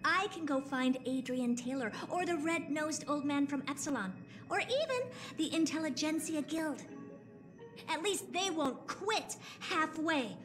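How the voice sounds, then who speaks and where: A woman's voice: sits high, lively intonation, unhurried, over music. An actress playing a haughty, irritable young female genius scientist in a video game, speaking in a curt, scornful, emphatic way. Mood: angry, scornful.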